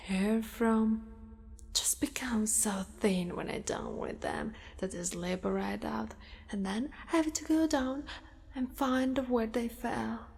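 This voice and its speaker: A woman playing a possessive, doting female spider monster in an ASMR roleplay, speaking softly and breathily, often in a whisper. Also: medium pitch. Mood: matter-of-fact, eerie. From Italy. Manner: soft, breathy, often whispered, slow and coaxing. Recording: long cavernous reverb.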